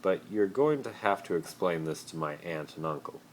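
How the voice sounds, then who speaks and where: A man's voice: low voice, animated, moderate pace. A man voicing a bewildered, skeptical teenage boy wizard in an incredulous, hesitant manner, in a story read into a phone. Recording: noisy amateur phone recording.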